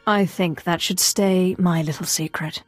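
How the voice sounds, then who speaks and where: A woman's voice: lowish voice, fairly even intonation, unhurried, soft background music. A woman playing a self-assured, sardonic Sith apprentice in a video game, speaking in a cool, clipped way. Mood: sly, confident. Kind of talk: scripted video game dialogue.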